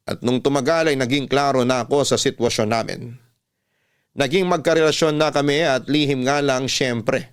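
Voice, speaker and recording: Male radio drama narrator, mid-pitched and clear, for sentimental first-person confessional stories. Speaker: storyteller host reading a listener's letter.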